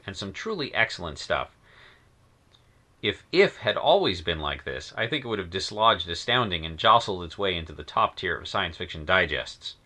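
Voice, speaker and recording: Animated low-voiced man, bright delivery. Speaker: reviewer and host reading his own column. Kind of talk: scripted commentary on science fiction magazines.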